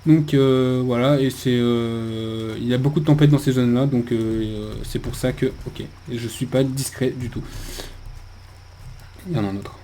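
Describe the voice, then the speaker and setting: A man's voice: medium pitch, steady tone, moderate pace, dark tone. A man giving casual video game commentary, thinking aloud in a hesitant way. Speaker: video game let's player. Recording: faint background noise.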